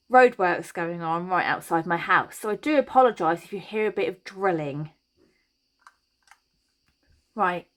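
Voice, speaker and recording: Chatty woman teaching crochet. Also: mid-range voice. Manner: chatty and reassuring, thinks aloud while working. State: slightly croaky voice. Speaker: crochet tutorial host. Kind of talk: step-by-step craft tutorial.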